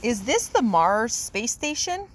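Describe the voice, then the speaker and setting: A woman's voice: fairly high pitch, big pitch swings, slow, exaggerated voice. A woman voicing a stern, exasperated father bear from a picture book, with sarcastic, scolding delivery.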